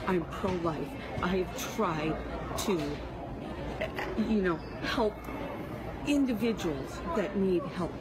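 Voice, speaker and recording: A woman in her fifties arguing defensively in a store, halting and rambling as she explains herself. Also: fairly low pitch, lively intonation, a loud crowd, heavy background noise. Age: 50s. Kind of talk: heated argument. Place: big store aisle, indoors.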